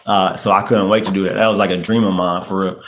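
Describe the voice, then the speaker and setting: A man's voice: sits low, level tone, fast, slight background noise. A man in his late thirties from the US, hosting a casual livestream, chatting loosely, repeating himself and using street slang. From United States. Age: 30s. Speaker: podcast host. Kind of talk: casual livestream chat reading comments.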